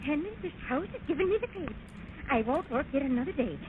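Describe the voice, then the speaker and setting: Frightened maid, high-pitched. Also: female voice, animated, quick pace. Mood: frightened, agitated. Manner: agitated, nagging. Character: frightened, fussy female household maid. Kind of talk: 1940s radio drama acting. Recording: tinny, noisy old-time radio recording.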